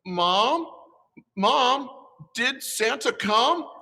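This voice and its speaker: A man reading aloud, voicing a little girl who speaks slowly and full of wonder. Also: very high-pitched, animated, sharp tone, in a large hall, voice pushed up, exaggerated voice. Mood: delighted.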